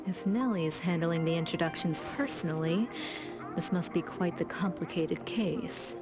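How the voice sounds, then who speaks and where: A woman's voice: fairly low pitch, moderate pace, lightly acted. A woman playing a teasing, world-weary senior expert in a video game, with a languid, playful delivery. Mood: wry. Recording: music behind the voice.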